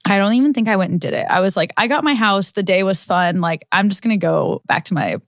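A woman in her twenties co-hosting a podcast, with a slightly low, somewhat raspy voice, telling stories in a chatty, upbeat way. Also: quick pace, dry recording, studio quality. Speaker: podcast co-host. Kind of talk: casual chat.

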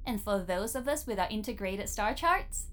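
A woman's voice: medium pitch, lively intonation, moderate pace, a bit bright, faint music behind, close to an everyday voice. A woman playing a cheeky, theatrical female AI therapist in a science fiction audio drama, playful, bossy and self-satisfied. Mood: teasing, familiar.

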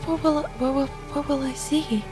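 A high-voiced female agent played by a woman in an audio drama, anxious and flustered. She stammers and repeats herself in a pleading way, with music clearly behind her. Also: normal speed, close to an everyday voice. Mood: panicked. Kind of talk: improvised audio drama acting.

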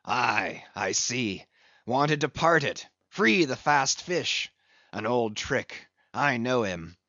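Man voicing an old sea captain, growling, low, archaic sailor talk, dry close recording. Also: very animated, takes it slowly, pushed and bright, dry recording, dull audio, exaggerated voice. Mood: grim. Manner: growling, archaic seafaring speech. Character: gruff old one-legged whaling captain, obsessed.

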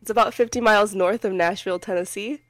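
A woman's voice: mid-range voice, fairly even intonation, fast talker, fairly bright tone, no room sound. Giggly woman with a scratchy throat. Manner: giggly, rambling storyteller. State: getting over a cold, scratchy throat. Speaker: podcast host. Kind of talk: casual chat.

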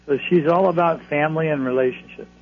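Male astrologer guest, reassuring, gravelly, phone-line sound. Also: a little high, fast. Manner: reassuring, advisory. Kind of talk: call-in radio readings. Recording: phone line, faint hum.

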